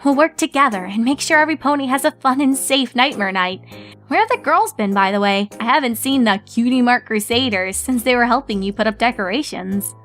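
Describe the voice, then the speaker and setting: A woman voicing a bookish, earnest unicorn mare in a fan story reading, measured and slightly prim. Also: a little high, animated, a bit bright, faint music behind, pitched above the performer's own voice.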